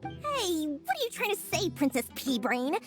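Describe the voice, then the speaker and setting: High-pitched, sly little merchant girl. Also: big pitch swings, measured pace, music in the background, heavily acted. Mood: indignant, probing. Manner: theatrical, wheedling, smug salesmanship. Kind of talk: video game voice acting.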